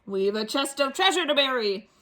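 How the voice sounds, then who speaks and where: A booming storybook pirate captain, brash and full of bluster, as a woman reading aloud puts him on. His voice is bright and ringing, pitched up into a hearty holler. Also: expressive, normal speed. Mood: blustery. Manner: bellowing pirate talk. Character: loud gruff male pirate captain.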